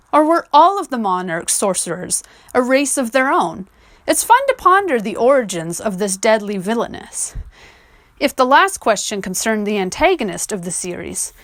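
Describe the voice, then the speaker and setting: High, animated woman narrating scripted commentary. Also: no room sound, clean studio sound. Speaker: video essayist.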